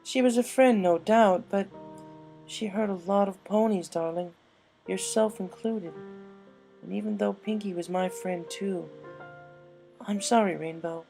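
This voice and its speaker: A man voicing a refined, dramatic female fashion pony in a storybook reading, tactful but firm, with drawn-out genteel speech. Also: high voice, creaky, a little mellow, faint music behind, pitched above the performer's own voice. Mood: gentle, firm. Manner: drawn-out, genteel, fond of saying darling.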